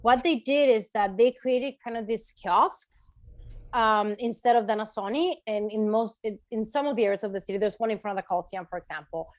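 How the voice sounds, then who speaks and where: A woman's voice: mid-range voice, brisk, no echo. A woman from Chile speaking as a tour guide in an informal talk, explaining and checking in with her listeners. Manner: explanatory, checks in with listeners, right and okay tags. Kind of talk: informal online lecture with question session.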